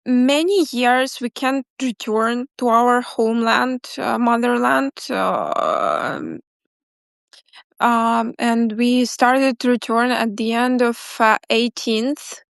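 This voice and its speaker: Brief: woman in her twenties from Crimea, non-native English speaker, slow and halting, dry close sound. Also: on the high side, steady tone, creaky, dry recording. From Crimea, Ukraine. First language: Crimean Tatar. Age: 20s. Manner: halting, many fillers and restarts, apologetic. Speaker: interview guest. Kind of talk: casual interview talk.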